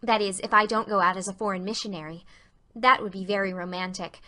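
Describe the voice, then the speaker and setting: Audiobook role: earnest romantic schoolgirl, played by a woman, effusive and dramatic, bright clear-edged tone. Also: mid-range voice, fairly even intonation, quick pace, lightly acted. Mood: gushing. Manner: gushing, dramatic.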